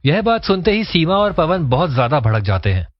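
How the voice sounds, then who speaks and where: A man's voice: a little high, very animated, brisk, dry recording, highs cut off. A man reading scripted storytelling narration for a cartoon moral tale.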